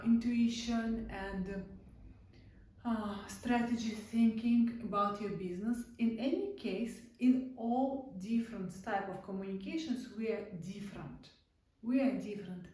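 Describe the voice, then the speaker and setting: Woman, Russian accent, instructor, soft mellow tone, heard from a distance in a very echoey room. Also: mid-range voice. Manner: non-native English with broken grammar, halting restarts. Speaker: art-therapy instructor. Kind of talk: casual online lesson.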